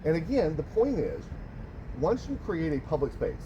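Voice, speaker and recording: A man's voice: fairly high pitch, big pitch swings, normal speed, highs cut off. A man in a heated debate with an officer, insistent and repetitive, often cutting in as he argues his point. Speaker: citizen auditor arguing with police. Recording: noisy outdoor recording with traffic.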